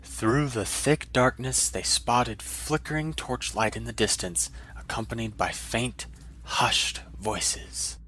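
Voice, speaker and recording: A man's voice: mid-range voice, takes it slowly, a bit bright, slight background noise. A man narrating a fantasy story in a dramatic, scripted audiobook style.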